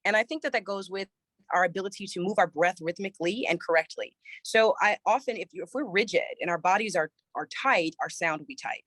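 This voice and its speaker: A woman's voice: on the low side, brisk, fairly bright tone, no room sound. Slightly scratchy woman choir director. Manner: thoughtful, with many restarts and fillers. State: slightly scratchy from a recent cold. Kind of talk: relaxed interview answers.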